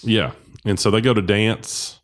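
Picture a man with a very low, deep voice co-hosting a movie podcast. He talks in loose, rambling bursts. He keeps backing up to correct himself mid-sentence, with a relaxed, conversational tone throughout. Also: measured pace. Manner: rambling, full of restarts and self-corrections. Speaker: podcast co-host. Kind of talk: casual movie discussion.

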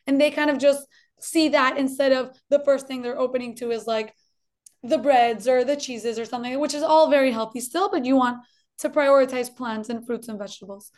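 A woman with a faint Colombian Spanish accent speaks in a high voice. She is a dietitian guest on an interview, explaining clearly and repeating points for emphasis. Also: a little fast, darkish tone. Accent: slight Colombian Spanish.

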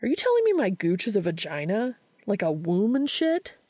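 A woman's voice: medium pitch, big pitch swings, normal speed, darkish tone, slightly rough, no room sound. A woman voicing a brash, impatient man with a blunt, slangy manner in a story reading. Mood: snappish. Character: brash, crude, impatient adult man turned sea creature.

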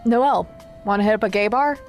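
Easygoing, mischievous young woman played by an actress, mid-pitched. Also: quick pace, muffled recording, music in the background, natural voice. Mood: inviting. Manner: casual, blunt. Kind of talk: audio drama acting.

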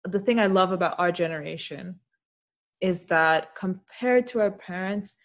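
A woman's voice: on the low side, measured pace. A young adult woman hosting a podcast, talking in a reflective, thoughtful way and laughing easily. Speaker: podcast host. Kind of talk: casual conversational interview.

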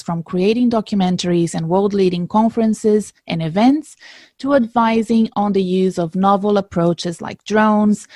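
A woman's voice: mid-range voice, darkish tone, no room sound. A middle-aged woman hosting a career podcast live at an event, introducing her guest with upbeat enthusiasm. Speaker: podcast host, career coach.

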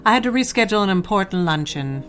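A woman's voice: mid-range voice, lively intonation, quick pace, soft background music, lightly acted. A woman playing a cold, disapproving older society mother in an audio drama, haughty and clipped in manner. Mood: cool, aloof.

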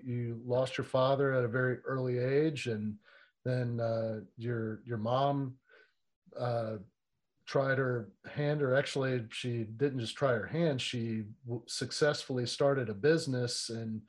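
A man's voice: medium pitch, fairly even intonation, unhurried, a little mellow. Enthusiastic male podcast host. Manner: enthusiastic, casual. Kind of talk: interview hosting.

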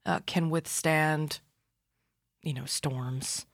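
A woman's voice: low-pitched, fairly even intonation, drawn-out words, no room sound. A woman hosting a radio interview in a studio, asking curious, eager follow-up questions in a conversational way. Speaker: radio host.

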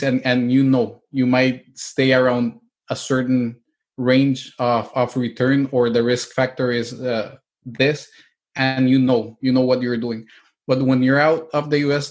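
Steady, even-toned man from El Salvador. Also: mid-range voice. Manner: hesitant, many restarts and fillers, explanatory. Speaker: real estate agent and investor. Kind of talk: interview answers.

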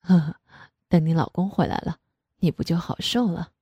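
A woman voicing an indulgent middle-aged father, lowering her voice to a husky tone. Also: moderate pace, warm tone, no room sound, dull audio. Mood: coaxing.

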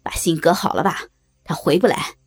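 A woman voicing a coarse, pushy middle-aged village man with a Northeastern Chinese accent and a gruff manner. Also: normal speed, darkish tone, dry recording, clean studio sound, faint music behind. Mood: rough. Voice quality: gruff, low put-on voice. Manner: blunt, domineering.

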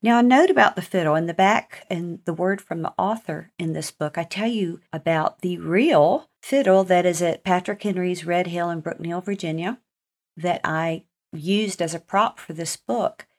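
A woman's voice: lowish voice, normal speed. An American woman hosting a life-lesson talk for children, speaking in an earnest, encouraging and emphatic way. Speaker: author and segment host.

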